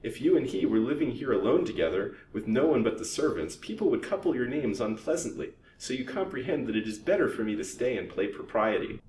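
A man's voice: fairly quick, few pauses, natural voice. Guy doing a snooty young rich girl, blunt and spirited storybook voice, swoopy, bit far from mic. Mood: pointed, cool. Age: young adult. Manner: pointed, calm, outspoken. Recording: roomy, distant microphone, faint rumbling noise.

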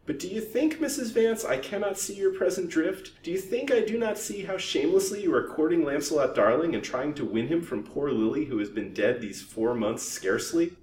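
Man voicing a haughty, blunt young lady, pitched up. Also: a little fast, few pauses, close to an everyday voice. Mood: spirited, scornful. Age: young adult. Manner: pointed, calm, outspoken. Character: spirited, blunt, haughty wealthy young girl. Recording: roomy, distant microphone, faint rumbling noise.

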